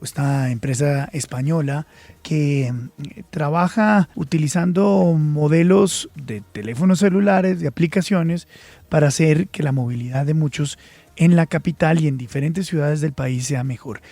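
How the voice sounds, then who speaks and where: A male radio host with a fairly high voice jokes and teases his guest between questions. He sits close to a dry studio microphone, and the talk stays lively. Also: gravelly, dry recording. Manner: joking, teasing, quick interjections. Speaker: radio morning show host. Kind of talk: lively radio chat and interviewing.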